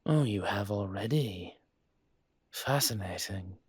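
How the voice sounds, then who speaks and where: A man playing a courtly, possessive dragon mafia boss in an intimate audio roleplay, speaking in a suave, teasing, formal way with a husky voice. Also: lowish voice, lively intonation, slow pace, dry recording, exaggerated voice. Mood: warm, celebratory. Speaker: voice actor.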